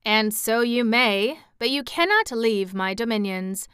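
Brief: woman playing a boastful tyrant king, raised and loud, crisp and bright. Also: on the high side, measured pace, no echo, clean studio sound. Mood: threatening. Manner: threatening, boastful. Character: blustering tyrannical little male king.

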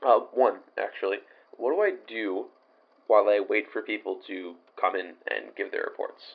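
A man's voice: on the low side, moderate pace, creaky, narrow, tinny audio. A man playing an unsure, inquisitive young new employee in an audio drama, awkward and hesitant. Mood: unsure, curious. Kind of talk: naturalistic audio drama acting.

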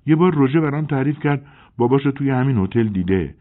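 A man voicing a chatty, excitable young woman in an audiobook, with a husky tone on tinny phone-like audio. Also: medium pitch, voice pushed up, close to an everyday voice. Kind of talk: audiobook character voice.

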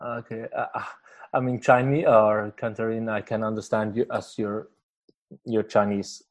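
A man's voice: lowish voice, flat delivery, moderate pace. A man who is not an English speaker, hosting a podcast interview in casual chat, with many fillers, restarts and frequent laughter. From Switzerland. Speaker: podcast host.

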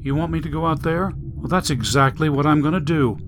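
A man playing a coldly defiant boy with an adult's mind in an audiobook, insolent and rasping. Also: fairly high pitch, fairly quick, noisy background, natural voice. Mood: cold defiance. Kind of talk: audiobook character voice.

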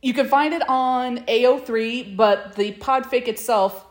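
A woman's voice: fairly high pitch, unhurried. A woman podcast host talks in a loose, casual way. She is enthusiastic and prone to giggling, recapping stories and recommending them like she would to a friend. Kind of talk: casual chat recapping and recommending fan fiction.

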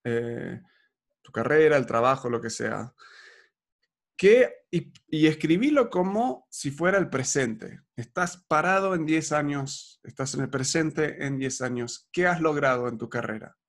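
A man talks like a coach in a relaxed podcast chat. His voice sits a touch high for a man. He keeps an upbeat tone and fills pauses with small hesitations. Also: vocal fry. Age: 40s. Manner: upbeat, coaching tone with frequent fillers.

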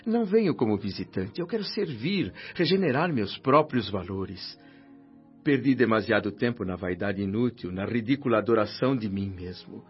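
Guy acting a humble ex-doctor in a radio play, earnest n formal, lowish voice, muffled with music behind. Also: natural voice. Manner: solemn, formal, fervent. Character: humble, earnest former doctor, newly arrived spirit, narrating his afterlife. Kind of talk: radio drama acting and first-person narration. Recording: dull lo-fi radio drama with music.

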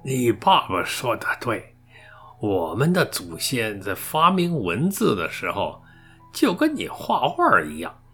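A man's voice: mid-range voice, huge pitch swings, takes it slowly, a bit bright, faint music behind, heavily acted. A man playing a kindly, teasing old grandfather who likes to explain things, in a radio drama.

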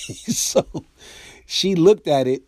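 An American man hosting his own podcast, telling comic stories in a loose, animated way. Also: a little high, very slow, dry recording. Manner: animated storyteller, acts out quoted voices. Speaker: podcast host and comedian. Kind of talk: casual storytelling monologue.

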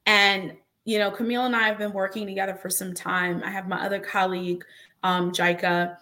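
A woman's voice: mid-range voice, little pitch movement, brisk, pushed and bright. A woman attorney hosting a casual conversation, speaking emphatically and repeating words to drive her point home. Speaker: host and attorney. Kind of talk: casual podcast-style conversation.

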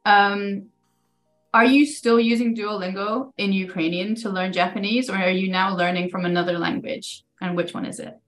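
A woman's voice: medium pitch, fairly even intonation, moderate pace. A woman hosting a podcast, curiously asking her guest follow-up questions. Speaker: podcast host. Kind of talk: interviewing.

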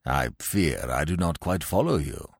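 A man voicing a pompous, sentimental old English gentleman in an audiobook, speaking in a solemn, grandiloquent manner. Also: very deep voice, expressive, measured pace, gravelly, no echo, clean studio sound, pitched below the performer's own voice, full character voice. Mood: solemn, regretful. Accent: British.

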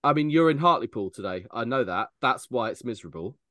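A man hosting a casual sports podcast, chatty and quick to laugh as he steers the conversation. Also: medium pitch, expressive, pushed and bright, no echo. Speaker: podcast host.

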